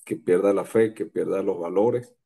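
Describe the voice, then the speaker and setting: Man, forties, gravelly and low, slow and hesitant, conference remarks. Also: fairly even intonation, warm tone. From Colombia, raised in Venezuela. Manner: hesitant, many restarts. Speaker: conference participant. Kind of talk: slow, halting reflective remarks.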